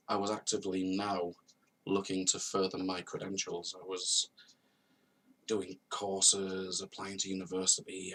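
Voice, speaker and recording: A man in his thirties speaks in a lowish, gravelly voice, earnest and confessional, hammering points home by repeating them. He sits a little back from the mic, with a faint hum of noise behind him. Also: fairly bright tone, far from the mic. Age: 30s. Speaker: vlogger telling his own story. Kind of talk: personal testimony to camera. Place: at home, on a video call setup.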